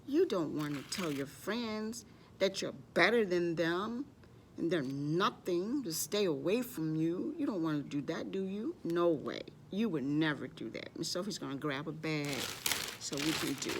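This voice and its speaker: A woman's voice: on the low side, animated, measured pace, a little hiss or hum. A woman hosting a children's library storytime, speaking to young children as she tells stories and shows crafts, often referring to herself in the third person.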